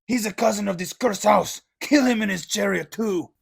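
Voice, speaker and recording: A gravelly ruthless warrior king. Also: high voice, moderate pace, heavily acted. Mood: stern. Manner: commanding, blunt. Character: ruthless commanding male captain turned king. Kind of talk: audio drama acting.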